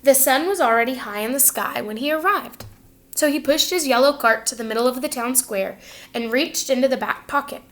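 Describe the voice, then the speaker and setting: A woman with a high voice reads a children's picture book aloud as a teacher would. Her delivery is expressive and instructive, with pauses to check that young listeners follow along. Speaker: teacher reading to students.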